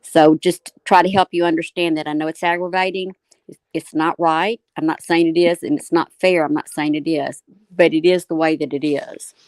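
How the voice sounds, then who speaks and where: Woman host w a lowish voice, dry close sound, explaining stuff step by step to viewers. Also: steady tone, normal speed, darkish tone, no echo. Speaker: talk show host. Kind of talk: explanatory live commentary answering viewer comments.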